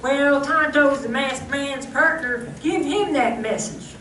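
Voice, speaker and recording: A woman plays a hearty, folksy ranch hand in a radio western. The drawling voice is high and sharp. It comes from a distance in a reverberant room. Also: takes it slowly. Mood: concerned. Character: Thunder Martin, folksy excitable male ranch hand. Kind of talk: old-time radio western acting. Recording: echoey room from far off, faint noise.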